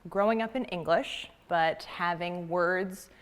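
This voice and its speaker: A young adult woman from the United States giving a prepared public talk in an earnest, measured way with deliberate pauses. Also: lowish voice, clean tone. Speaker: graduate student speaker.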